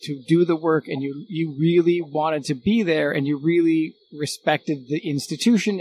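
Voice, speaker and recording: A man's voice: a little high, low-quality recording. A middle-aged man in a casual unscripted conversation as a guest, thinking aloud as he goes. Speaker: former radio recording engineer, podcast guest.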